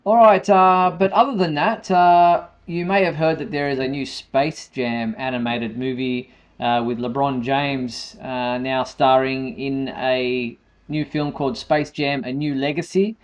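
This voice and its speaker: A man hosting a fan news show in a conversational, somewhat halting style. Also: mid-range voice, steady tone, dull audio. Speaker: show host. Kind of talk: semi-scripted fan news presenting.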